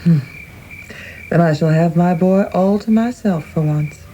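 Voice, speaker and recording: An actress plays a genteel, possessive older mother in a radio drama. Her voice is dark, mellow and fairly low, with formal maternal manners, slightly muffled over faint background noise. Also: unhurried, lightly acted. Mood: genteel, concerned. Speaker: actor in a radio play. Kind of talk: dramatic radio play acting.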